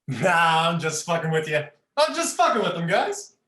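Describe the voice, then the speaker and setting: A man's voice: a little high, big pitch swings, normal speed, pushed and bright, reverberant. A man voicing a cocky, lewd, bouncy tiger in an adult comedic play reading, brash and teasing. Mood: teasing, menacing. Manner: brash and teasing, laughs at own jokes.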